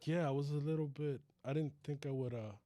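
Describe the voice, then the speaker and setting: A man's voice: fairly high pitch, fairly even intonation, a little fast, dark tone, no echo. A man co-hosting a podcast, chatting casually with a joking, teasing manner. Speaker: podcast co-host. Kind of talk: casual chat.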